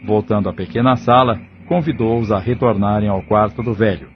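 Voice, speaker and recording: A man's voice: fairly low pitch, moderate pace, a little hiss or hum. A man reading scripted narration for an audio drama. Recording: dull lo-fi audio. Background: background music.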